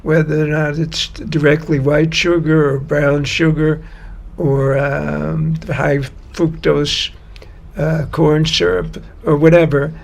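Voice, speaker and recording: Male storyteller, raised mid pitch, very slow delivery, gravelly texture. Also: little pitch movement. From United States. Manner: slow, unhurried and a bit halting. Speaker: guest storyteller. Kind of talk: personal storytelling.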